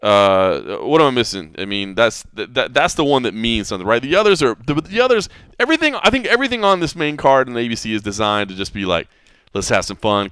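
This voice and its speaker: A man hosting a podcast, his voice bright and clear and full of lively rises and falls. He talks fast, restarting sentences as he goes. The sound is dry and close. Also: fairly low pitch, no echo. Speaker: podcast host. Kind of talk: casual podcast chat.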